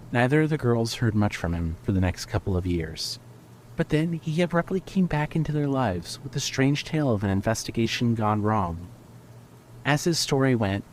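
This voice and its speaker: A man's voice: medium pitch, darkish tone. A man reading scripted narration as the host and storyteller of a fiction podcast. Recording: faint background noise and ambience.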